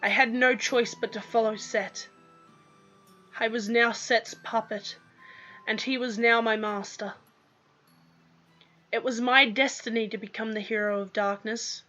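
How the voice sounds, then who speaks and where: A woman narrating a scripted first-person story in a dramatic, deliberate manner. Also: a little high, fairly even intonation, sharp tone. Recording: dull lo-fi microphone sound. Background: music.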